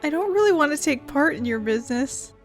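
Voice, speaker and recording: Casual woman gamer with a highish voice. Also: expressive. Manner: informal, halting when explaining. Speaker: gaming YouTuber. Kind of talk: casual let's play commentary, reading dialogue choices aloud. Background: faint game music.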